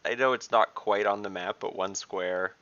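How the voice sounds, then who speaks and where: A man playing a tabletop game over a video call, eager and quick to laugh. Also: lowish voice, steady tone, pushed and bright. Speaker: player. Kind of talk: casual tabletop play. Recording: dull lo-fi video call audio.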